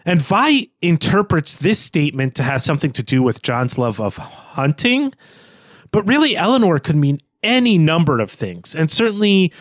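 A man's voice: on the high side, no room sound. A man co-hosting a podcast, talking through literary analysis in a conversational style. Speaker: podcast co-host.

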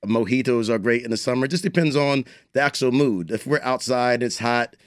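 A man's voice: mid-range voice, fairly quick, no echo, clean studio sound. A man chatting casually as a guest in an interview. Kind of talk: casual chat.

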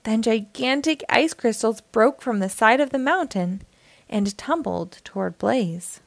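A woman reads a children's story aloud. Her voice sits fairly high and she takes her time, phrasing each part expressively. The sound is dry and close. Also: expressive, dry recording. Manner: measured, clearly phrased. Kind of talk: expressive read-aloud narration.

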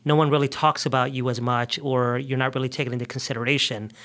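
A man from the United States speaking as an interview guest, explaining things earnestly in conversational, somewhat halting phrases. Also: mid-range voice, little pitch movement, fairly bright tone. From United States, grew up in New York and the South. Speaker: immigration justice advocate, interview guest.

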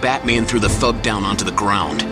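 Man, narrator, lowish voice, steady storytelling, loud music score behind. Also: moderate pace. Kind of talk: scripted audio drama narration.

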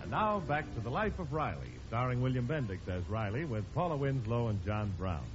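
A man's voice: mid-range voice, very animated, brisk. A man working as an announcer in 1950s radio style. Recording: muffled old radio, loud music behind.

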